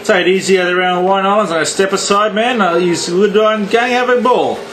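A man's voice: high voice, fairly quick. An Australian man chatting on CB radio in slang, with repetitive, showy catchphrases and sign-offs. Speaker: CB radio operator. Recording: static-laced radio ambience, background noise.

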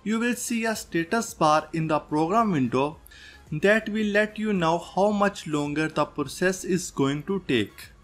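A man's voice: sits high, measured pace. A man presenting a tech tutorial as a scripted voice-over, going through instructions step by step. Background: background music.

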